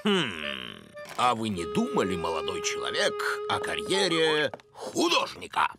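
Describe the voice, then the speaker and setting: Slow, creaky old gentleman crow. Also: fairly low pitch, wildly swooping pitch, over loud music, full character voice. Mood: pondering. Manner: genteel, formal, pondering. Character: courtly, worldly old male crow, thoughtful mentor. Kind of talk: children's cartoon dialogue.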